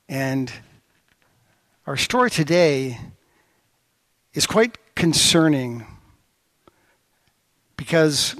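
A middle-aged man preaching a sermon as pastor, telling stories with lively swings of voice. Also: no room sound. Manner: storytelling with lively pitch swings.

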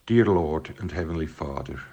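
A man's voice: a bass voice, expressive, dark tone, breathy edge, dull audio. A man in his fifties from Switzerland with a Swiss accent, giving a friendly greeting and a prayer. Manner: friendly, unhurried. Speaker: guest sending a video greeting.

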